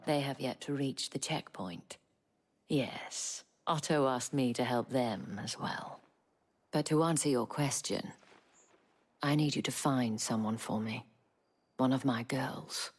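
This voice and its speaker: A woman playing a poised, shrewd madame in a fantasy video game, with refined, deliberate, gently teasing speech. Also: very deep voice, husky, exaggerated voice. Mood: businesslike, concerned. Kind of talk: video game cutscene acting. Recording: faint music behind the voice.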